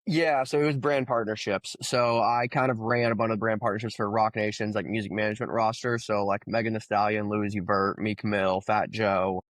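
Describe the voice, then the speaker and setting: A man in his thirties from Oklahoma, a podcast guest, telling his background in a relaxed, rambling way with plenty of fillers. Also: lowish voice, moderate pace, hardly any pauses, dry recording. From Oklahoma, USA. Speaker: guest, crypto founder. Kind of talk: casual conversational interview.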